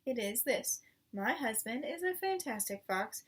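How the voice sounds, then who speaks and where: A woman's voice: on the high side, expressive, unhurried, breathy edge. A woman voicing a devoted, affectionate female fox in a children's storybook, tender and earnest. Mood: shy, proud.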